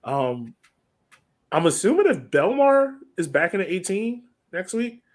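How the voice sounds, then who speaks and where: A man's voice: high-pitched, sing-song, a little slow. A man co-hosting a soccer podcast, chatting casually through analysis and repeating phrases for emphasis. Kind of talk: casual sports analysis chat.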